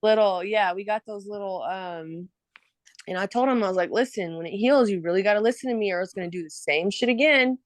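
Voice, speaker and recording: A woman's voice: medium pitch, brisk, no echo. A woman in her thirties, a podcast guest chatting casually and laughing often as she tells stories. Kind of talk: casual chat.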